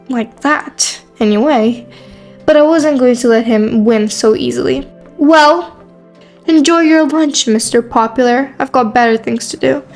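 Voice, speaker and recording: Woman acting a high-voiced, guarded teenage girl. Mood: defensive, annoyed. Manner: dry, defensive, sarcastic. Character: guarded, prickly quiet new high school girl. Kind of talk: first-person narration and dialogue in a teen romance.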